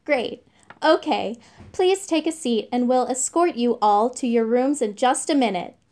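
A woman's voice: a little high, unhurried, a little mellow, natural voice. A woman playing a polite, chirpy spa receptionist in an audio drama. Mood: welcoming.

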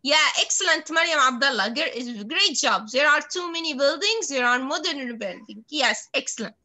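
Young female teacher, very high and bright voice, encouraging, on a video call. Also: lively intonation, moderate pace. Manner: encouraging, frequent praise. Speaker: English teacher. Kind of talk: online class with children. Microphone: computer mic on video call.

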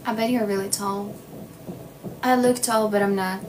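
A young woman in her twenties whose first language is Ukrainian, chatting casually on a phone livestream in a small room, slow and playful with lots of "like". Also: medium pitch, steady tone. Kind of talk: casual livestream chat reading comments. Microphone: phone at arm's length. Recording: noticeable background noise.

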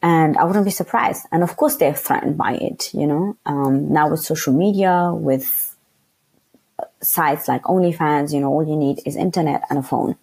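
A young woman from Afghanistan speaking frankly as an interview guest, often pausing to search for words with fillers. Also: sits low, vocal fry, a little mellow, slightly rough. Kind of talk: candid conversational interview.

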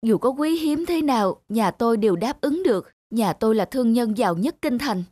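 Sly, self-important rich merchant. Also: male voice, very high-pitched, expressive, fairly quick, darkish tone, no echo. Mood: ingratiating, scheming. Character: sly, wealthy middle-aged male merchant, scheming husband. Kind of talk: animated folk-tale dubbing.

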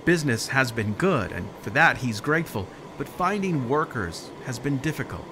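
A man's voice: mid-range voice, big pitch swings, moderate pace, noisy background. A man in his forties with an American accent, delivering scripted narration as the host of a regional TV feature. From southern New Mexico, United States.

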